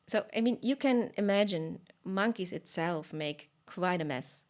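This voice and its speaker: A woman telling a story live in an expressive way, with fillers and dramatic pauses. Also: fairly low pitch.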